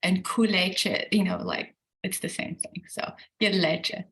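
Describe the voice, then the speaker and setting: A woman's voice: fairly low pitch, steady tone, unhurried, a bit bright. A woman from Iran joining an online class, speaking frankly in short bursts over a video call. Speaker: participant. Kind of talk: casual chat on a video call.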